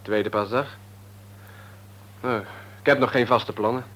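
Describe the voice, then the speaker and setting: A cheeky young layabout acted by a man in a Dutch radio drama, speaking at an average male pitch with a Netherlands accent. He is guarded and cynical, answering in an insolent, offhand way. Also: fairly even intonation, measured pace, fairly bright tone, natural voice. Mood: grudging, offhand. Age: young adult. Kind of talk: Dutch radio play acting.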